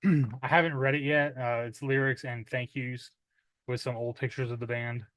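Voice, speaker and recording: Male in his thirties, average pitch, casual rambling record-haul talker, recorded dry and close. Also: steady tone, a little slow, fairly bright tone, no echo. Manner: rambling with many "uh" fillers, clears throat often. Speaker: metal music vlogger. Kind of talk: casual unboxing chat.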